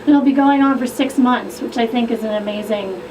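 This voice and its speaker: A woman's voice: on the high side, a little fast, recorded from a distance. A woman explaining her group's project on a radio talk show, enthusiastic and chatty. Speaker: guest expert. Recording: radio studio, off-mic, noisy.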